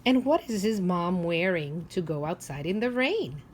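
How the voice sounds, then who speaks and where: A woman teacher leading a read-aloud for young children, asking simple questions and pausing for them to think. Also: lowish voice, expressive, darkish tone, slight background noise.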